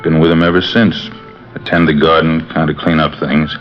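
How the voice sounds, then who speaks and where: A very low-voiced old man, weary and brooding in a vintage radio drama. He rambles in a folksy, flat way. The sound is muffled, with music behind. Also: moderate pace. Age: older. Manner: rambling, folksy, repeats himself. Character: weary, brooding elderly father-in-law who likes a drink. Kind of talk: 1950s radio drama acting.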